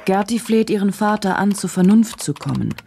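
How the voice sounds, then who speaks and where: An actress playing a journalist who narrates a case in a sober, detached, measured way for a radio drama. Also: female voice, mid-range voice, animated. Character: woman journalist soberly reconstructing a dark case. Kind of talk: German radio drama, present-tense report narration. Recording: clear noise, typing clicks behind.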